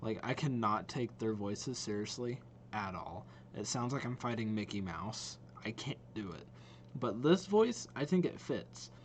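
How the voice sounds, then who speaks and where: A man hosting a reaction video, giving casual commentary as he thinks aloud in a halting way. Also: on the low side, expressive, slight background noise.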